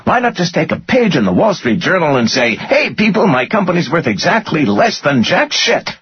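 A man performs an irate corporate finance chief in an audiobook, pitched up high and rasping hard. He is sarcastic and incredulous, shouting in profane, swooping bursts. The sound is slightly muddy. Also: animated, normal speed, no room sound, lo-fi sound. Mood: furious, exasperated. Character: furious, panting male finance director berating his boss.

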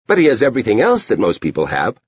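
A man acts out a scheming little girl from an audiobook story, eager and bossy. The voice jumps high and cajoles insistently, on a slightly muffled recording. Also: wildly swooping pitch, quick pace, breathy edge, no room sound.

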